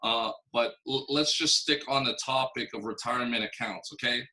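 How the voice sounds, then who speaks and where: Bright-voiced casual man talking to camera. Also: medium pitch, moderate pace, low-quality recording. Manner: loose and direct, casual swearing, frequent "okay?" check-ins. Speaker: host giving money advice to entrepreneurs.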